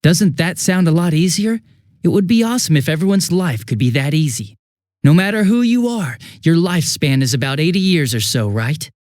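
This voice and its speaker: An American man playing a cynical, bitter young villain in a video game, with a husky voice and a flippant, contemptuous manner. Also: a little high, animated, normal speed, darkish tone, no echo, studio quality, natural voice. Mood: mocking, jaded. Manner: flippant, mocking, contemptuous drawl. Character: cynical, bitter, sneering young male villain turning unhinged.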